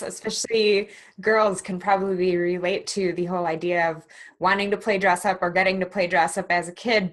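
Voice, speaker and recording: A woman's voice: on the low side, fairly bright tone. A woman hosting a podcast in an upbeat, chatty way, affirming her guest and asking follow-up questions. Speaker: podcast host. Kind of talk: casual interview hosting.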